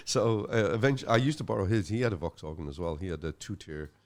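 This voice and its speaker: A man's voice: fairly low pitch, a little fast. A middle-aged man from Ireland with a Dublin accent, chatting as a guest musician, rambling and restarting his phrases. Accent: Dublin Irish. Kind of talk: casual interview chat.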